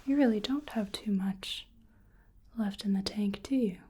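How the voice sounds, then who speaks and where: A woman whispering softly and breathily in an ASMR roleplay as a polite, curious researcher doing a gentle exam. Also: mid-range voice. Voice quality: breathy whisper and soft speech. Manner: gentle, hesitant, polite, unhurried.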